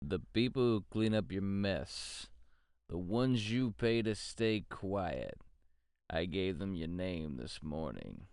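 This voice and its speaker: An actor playing a world-weary, cynical private detective in a noir audio drama, with a gravelly, brooding, hardboiled delivery. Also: male voice, deep voice, animated, slow pace, a little mellow, no echo, full character voice. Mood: cold, defiant. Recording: music under the voice.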